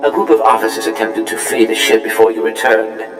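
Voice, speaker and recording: A man's voice: lowish voice, monotone, brisk. A man voicing an unflappable, servile protocol droid in a video game, speaking formally and courteously through a robotic filter. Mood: unruffled. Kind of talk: scripted video game dialogue. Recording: echoey, music underneath. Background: game music.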